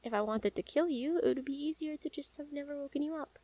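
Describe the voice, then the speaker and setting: A woman playing a calm, menacing yet smitten assassin in an intimate audio roleplay, speaking softly and teasingly with deliberate pauses. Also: sits high, no echo, close to an everyday voice. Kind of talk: intimate scripted audio roleplay addressed to the listener.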